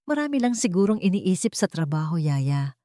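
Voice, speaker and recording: A woman's voice: fairly low pitch, big pitch swings, normal speed, dark tone, dry recording. A woman narrator plays a cold husband in a Filipino drama story, suspicious and dismissive. The voice has a Filipino accent and a dry, close sound.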